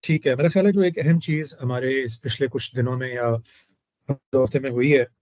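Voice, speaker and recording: Man, host, hoarse, measured, formal, middle pitch, dull lo-fi sound. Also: steady tone, a little mellow, no room sound. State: hoarse throat. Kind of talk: casual discussion. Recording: dull, dry lo-fi audio.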